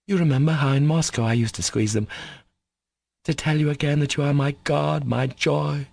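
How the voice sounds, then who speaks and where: A man's voice: fairly high pitch, steady tone, normal speed, gravelly, darkish tone, no room sound, pitched above the performer's own voice. A man reading the lines of a passionate, wounded young Russian woman, who speaks in breathless, emotional pleas. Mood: impassioned.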